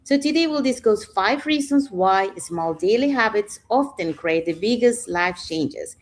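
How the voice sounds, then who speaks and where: A woman's voice: mid-range voice, expressive, unhurried. A middle-aged woman from Panama hosting a live online panel talk, chatty, with many fillers like "you know". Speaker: host.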